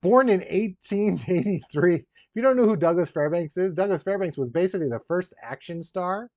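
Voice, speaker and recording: A man with a slightly high voice hosts a casual live stream for collectors. He rambles along, tossing in joking asides as he chats with viewers. The sound is roomy and a little dull and lo-fi. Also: expressive, a little fast, darkish tone. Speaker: live stream host, toy collector unboxing a figure.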